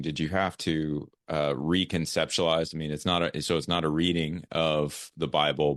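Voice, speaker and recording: A man with an extremely low voice, recorded close and dry. He hosts a casual interview, dropping in short acknowledgements and loosely phrased questions. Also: a bit bright, dry recording. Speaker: podcast host, interviewer.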